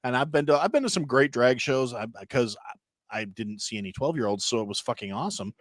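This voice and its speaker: A man in his thirties from Wisconsin, chatting as a podcast guest. He tells long, rambling stories and keeps jumping into other people's voices with big swings in pitch. His voice sits at a middle pitch on a very dry, close mic. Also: expressive, quick pace, dry recording. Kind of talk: casual chat.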